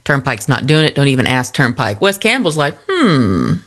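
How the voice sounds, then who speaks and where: A woman co-hosting morning radio, her voice rising and falling a lot as she tells an animated story. She laughs often in casual banter. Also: rumbling low, moderate pace. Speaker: radio co-host.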